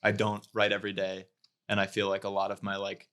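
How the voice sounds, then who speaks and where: Low-voiced man chatting reflectively. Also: completely flat delivery, fairly quick, a bit bright. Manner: reflective, meandering. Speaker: podcast guest, comedy writer. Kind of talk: casual chat.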